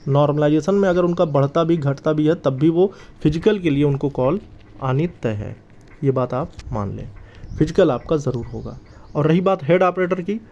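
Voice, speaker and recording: A man's voice: a little high, warm tone. A man giving an informal, emphatic and reassuring explainer to listeners, repeating his key points. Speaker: exam news channel host. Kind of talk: informal explainer addressed to exam candidates. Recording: slightly dull, lo-fi sound.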